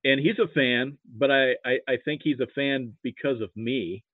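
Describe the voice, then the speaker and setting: A middle-aged American man with a Midwestern accent, chatting casually as an interview guest in a halting, hesitant way. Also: medium pitch, no echo. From rural Nebraska, United States. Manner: halting, hesitant delivery with repeated "you know". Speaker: guest, sports fan and archivist. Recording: muffled, narrow phone-like line.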